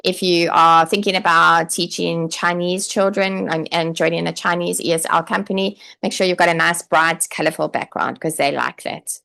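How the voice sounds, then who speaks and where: Chatty woman coaching, gravelly voice. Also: lowish voice, steady tone, moderate pace, hardly any pauses, pushed and bright. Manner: chatty, with frequent "okay" and "like"; encouraging, direct advice. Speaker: online English teacher and coach. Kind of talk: casual live-stream teaching.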